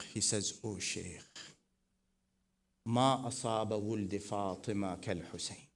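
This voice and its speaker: Man preaching, soft then swelling loud. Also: lowish voice. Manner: swells from soft to loud, rising emphatic repetition. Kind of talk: mourning sermon with narrated tradition.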